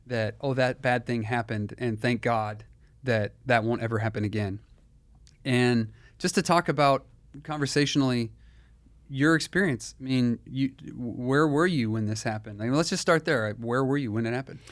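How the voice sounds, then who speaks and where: A man hosts the interview in a mid-pitched voice, recorded dry with almost no room sound. He asks friendly, measured questions and answers the guest with brief murmurs of agreement. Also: dry recording. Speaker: interview host. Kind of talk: conversational interview. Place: Texas.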